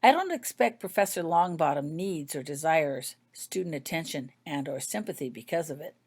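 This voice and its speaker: Lady reading as nice reserved wizard guy in a story, quiet and understated. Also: low voice, animated, normal speed, breathy edge, heavily acted. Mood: quiet, neutral.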